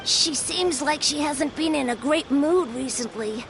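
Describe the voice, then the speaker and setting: A woman's voice: high-pitched, measured pace, creaky, noisy background. A woman voicing a friendly, chatty young child in a video game, youthful and eager.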